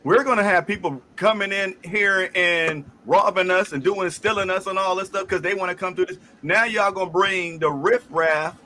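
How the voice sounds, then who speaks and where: Panelist: bright, slightly high man, halting delivery, faint hiss behind. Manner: halting, thinking aloud. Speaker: podcast panelist. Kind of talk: casual sports chat.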